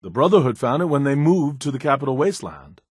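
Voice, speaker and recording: A man's voice: mid-range voice, big pitch swings, quick pace, breathy edge, dry recording, clean studio sound. A man voicing an earnest, bookish scientist in a video game, speaking in a polite, conversational and slightly hesitant way. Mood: explanatory.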